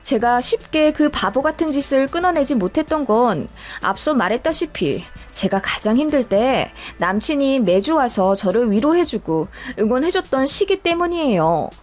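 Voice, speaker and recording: A woman's voice: sits high, sing-song, normal speed, hardly any pauses. A woman reading a scripted first-person personal story as a narrator, in a storytelling style. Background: background music.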